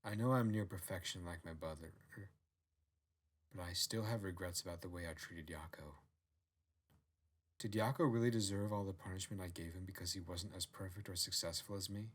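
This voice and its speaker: A man's voice: low voice, moderate pace. A man voicing a proud, self-assured fox queen who commands and coaxes in a storybook narration. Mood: wistful, regretful.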